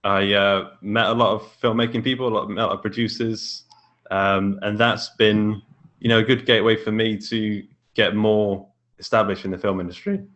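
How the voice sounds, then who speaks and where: A man's voice: fairly low pitch, little pitch movement, moderate pace, a bit bright, dull audio. A British man chatting casually as a podcast guest, informal and self-deprecating. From England. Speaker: podcast guest, indie author and filmmaker. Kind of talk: casual chat.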